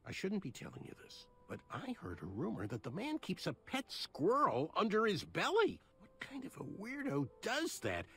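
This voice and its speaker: An actor playing a sly, scheming little gossip in a fantasy video game, conspiratorial and theatrical. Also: male voice, high voice, huge pitch swings, moderate pace, creaky, few pauses, a bit bright, breathy edge, heavily acted. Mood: conspiratorial, scornful. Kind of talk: animated video game acting.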